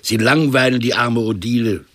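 An actor playing an ailing, scornful and impatient uncle of eighty in a radio drama, grumbling and firing sarcastic questions. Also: male voice, mid-range voice, moderate pace, fairly bright tone, lightly acted. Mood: scornful, exasperated. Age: older.

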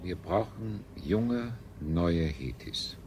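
An actor playing a smug, pleasure-seeking ruler of a space colony in a radio play, with a pompous, declamatory manner. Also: male voice, sits low, expressive, extremely slow, a little mellow, slight background noise, heavily acted. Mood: demanding. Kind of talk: 1970s German radio play acting. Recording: music behind the voice.

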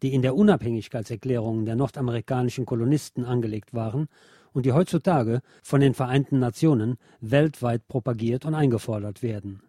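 A man reads a scripted history feature as presenter, in a formal, measured way. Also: mid-range voice, warm tone, breathy edge, no echo, studio quality. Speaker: radio show presenter.

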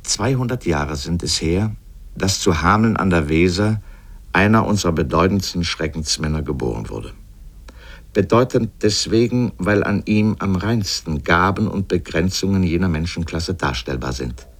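A man's voice: low-pitched, expressive, unhurried, exaggerated voice. A man playing an erudite, polemical literary essayist in a scripted radio essay, declamatory and pompously ironic. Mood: ironic, emphatic.

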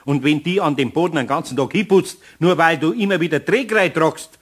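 An actor playing an older Bavarian craftsman in a children's radio play, gruff but good-natured, homely and admonishing, with a husky voice and Bavarian accent. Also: fairly high pitch, brisk. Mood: exasperated, admonishing.

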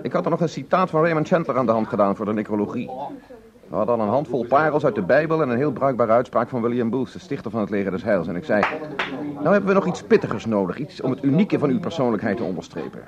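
A man's voice: mid-range voice, very animated, fast, dull audio, a crowd in the background, lightly acted. An actor playing an aggrieved, long-winded, bookish man telling his troubles in a radio play. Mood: aggrieved, wordy. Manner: wordy, indignant storytelling with quotations.

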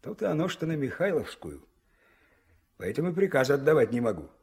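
An actor playing a genial but pressing river-port dispatch chief in a 1970s Soviet radio play, coaxing in a conversational way. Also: male voice, medium pitch, big pitch swings, brisk, a little mellow, breathy edge, exaggerated voice. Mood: serious, pressing. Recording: old mono radio play recording.

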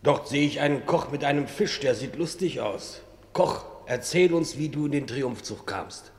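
A man's voice: mid-range voice, lively intonation, moderate pace, natural voice. Radio drama male actor: baker among the dead, sympathetic, medium register, hollow reverb.

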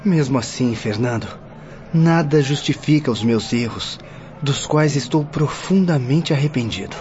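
A medium-pitched voice of a repentant young prisoner played by a man in a radio drama. He speaks with humble sorrow. The sound is muffled, with faint noise behind. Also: expressive, unhurried, a little mellow, lightly acted. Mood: remorseful. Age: young adult.

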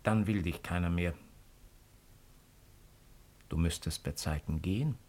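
An actor playing a cold, calculating Roman imperial agent in a radio drama, speaking in a measured, insinuating way. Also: male voice, low voice, darkish tone. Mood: pressing, pitying. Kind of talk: 1950s German radio drama acting.

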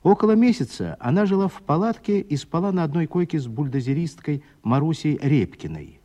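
A man narrating a literary radio play with expressive, scripted storytelling. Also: fairly high pitch, brisk.

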